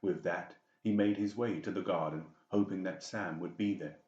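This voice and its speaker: A man's voice: deep voice, fairly even intonation, normal speed, a little mellow. A man narrating a dramatic audiobook story from a script. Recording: roomy, slightly dull sound.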